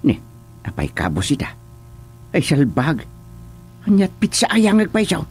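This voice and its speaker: A man's voice: fairly high pitch, wildly swooping pitch, unhurried, husky, heavily acted. A man playing an exasperated, grumbling village chairman in a comedic radio drama.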